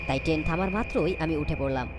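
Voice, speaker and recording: A woman's voice: deep voice, quick pace, background noise, close to an everyday voice. A woman voicing a young, anxious storyteller in a cartoon ghost story, dramatic, wondering and fearful. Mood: wondering, uneasy. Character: young, anxious storyteller caught in eerie events. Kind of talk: scripted cartoon ghost-story narration and dialogue.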